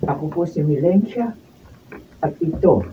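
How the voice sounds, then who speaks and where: A woman's voice: low voice, animated, a little slow, recorded from a distance, narrow, tinny audio, heavy background noise. A woman playing a devastated, remorseful wife and mother who lost everything, in an emotional Filipino radio drama style. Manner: emotional, melodramatic delivery. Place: radio drama recording session.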